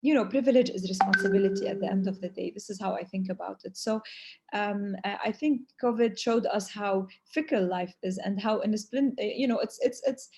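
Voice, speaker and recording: A woman's voice: medium pitch, fairly quick, dark tone, slight background noise. A woman whose first language is Arabic, answering questions at length as a humanitarian speaker in a webinar, earnest and hesitant in phrasing with Arabic filler words.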